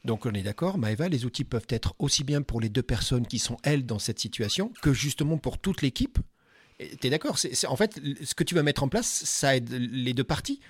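A man's voice: medium pitch, lively intonation, a little fast, breathy edge, no room sound. A middle-aged man from Dordogne interviewing a guest at a job fair, chatty and enthusiastic, with many restarts. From Dordogne, France. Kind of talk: casual event interview. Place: quiet corner at a busy job fair.

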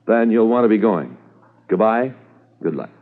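An actor playing a businesslike senior police officer in a 1950s radio drama. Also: male voice, fairly low pitch, expressive, normal speed, close to an everyday voice. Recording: very muffled 1950s radio transcription.

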